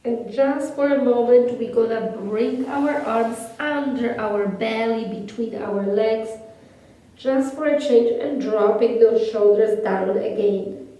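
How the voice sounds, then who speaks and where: Calm woman with a Polish accent, echoey. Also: fairly high pitch. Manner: slow, soothing cues with long pauses. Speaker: yoga instructor. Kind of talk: guided yoga class instruction. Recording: echoey room from far off.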